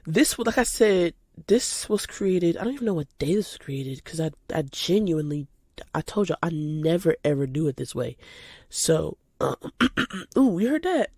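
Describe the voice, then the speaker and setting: Chatty young woman, stuffy and creaky. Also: low voice, moderate pace, dry recording, clean studio sound. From United States, lives in Georgia. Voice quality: slightly stuffy from a cold. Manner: animated, informal, addresses listeners as y'all. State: recovering from a cold. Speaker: podcast host. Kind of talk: casual solo podcast chat, spontaneous.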